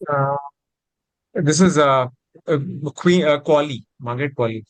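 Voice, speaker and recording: A man answering quiz questions off the cuff in a casual, hesitant, halting way. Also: on the high side, poor audio. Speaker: quiz contestant.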